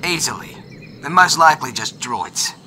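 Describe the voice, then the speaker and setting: A man playing a gruff, sardonic bounty hunter in a sci-fi audio drama. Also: medium pitch, animated, normal speed, sharp tone, slight background noise. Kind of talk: fan audio drama acting.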